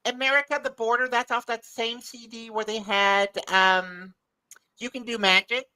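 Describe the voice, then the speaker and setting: A woman's voice: on the high side, steady tone, moderate pace, bright, forceful tone, rough recording. A middle-aged woman losing her voice, chatting eagerly as a podcast panelist and often restarting her words. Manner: enthusiastic, repeats and restarts words. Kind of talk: casual chat.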